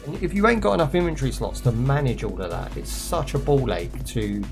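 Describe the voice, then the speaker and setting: A man at a middling pitch streams a game and rambles to his viewers in loose slang, laughing easily. His voice is going hoarse. Music plays clearly behind him. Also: animated, a little fast. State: losing his voice. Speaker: gaming streamer. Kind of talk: casual gameplay commentary to viewers.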